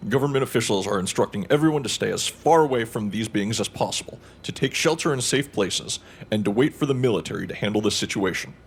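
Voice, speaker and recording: A man's voice: lowish voice, expressive, fast talker, a little hiss or hum. A man playing a sarcastic, exasperated news co-anchor in a radio audio drama. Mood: grim, official. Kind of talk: radio newscast acting.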